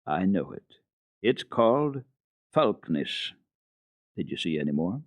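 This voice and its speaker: A man playing a wise, gruff old mountain grandfather who explains things in a measured, firm way, from an old radio recording. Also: low voice, big pitch swings, no room sound, clean studio sound, heavily acted. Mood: gentle explaining.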